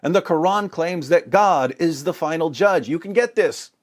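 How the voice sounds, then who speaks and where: A man's voice: fairly high pitch, normal speed. A man giving polemical religious commentary with scripture readings, in an emphatic, sarcastic and theatrical way.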